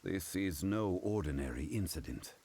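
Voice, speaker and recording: An actor playing a dignified, wise and composed gentleman in a video game, speaking formally and with measured gravity. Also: sits low, lively intonation, slight background noise. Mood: grave, measured.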